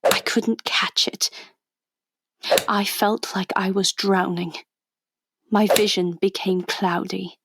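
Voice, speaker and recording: Slow-paced woman undercover agent. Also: medium pitch, little pitch movement, noisy background. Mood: grave, confiding. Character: plucky, wry female undercover agent narrating her adventure. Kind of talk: confiding radio drama narration to the listener.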